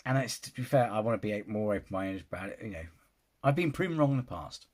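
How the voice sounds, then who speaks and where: Husky-voiced man rambling on. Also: fairly low pitch, animated, quick pace, a little mellow. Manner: rambling, restarts sentences, often says "you know". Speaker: film reaction vlogger. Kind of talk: casual opinion vlog.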